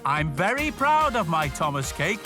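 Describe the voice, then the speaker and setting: Animated male children's storyteller. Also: fairly high pitch, moderate pace. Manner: expressive, addresses young viewers directly. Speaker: storyteller and presenter. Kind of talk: children's story reading and step-by-step craft narration. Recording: music behind the voice. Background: music.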